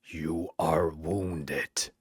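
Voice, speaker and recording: A man playing a proud, grave fallen angel, hushed and solemn, in an audio fantasy battle. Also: rumbling low, steady tone, extremely slow, husky, dry recording, clean studio sound, heavily acted.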